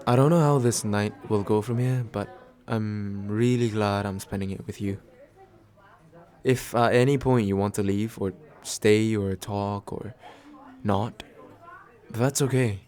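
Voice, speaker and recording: Slow, low-voiced, tender nervous boyfriend played by a man. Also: fairly even intonation, a faint crowd, lightly acted. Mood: warm, nervous. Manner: halting, gently self-deprecating, with small stammers. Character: tender, nervous, attentive man on a second date. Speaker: voice actor in an audio roleplay. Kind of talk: soft intimate ASMR boyfriend roleplay.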